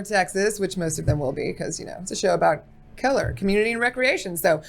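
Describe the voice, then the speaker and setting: A woman hosting a podcast, bubbly and enthusiastic, chatting with a guest. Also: sits high. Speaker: podcast host. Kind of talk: upbeat podcast interview.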